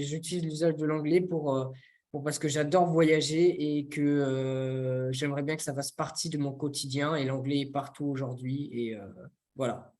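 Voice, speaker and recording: A young man from France, co-hosting a casual discussion and often pausing to search for words. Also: on the high side, a little mellow. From France, near Toulouse. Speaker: co-host.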